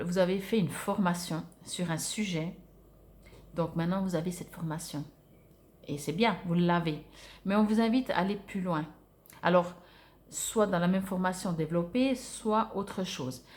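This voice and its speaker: A woman's voice: lowish voice, unhurried, long pauses. Woman giving a conversational, hesitant card reading. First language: French. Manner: hesitant, searching for words, conversational. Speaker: oracle card reader. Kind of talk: informal spiritual card reading to viewers.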